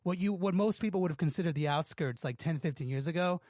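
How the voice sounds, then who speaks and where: A man's voice: a little high, quick pace, darkish tone, no room sound, studio quality. A man from Los Angeles hosting a podcast, talking casually with lots of fillers like "like" and "man". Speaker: podcast host. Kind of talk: casual interview chat.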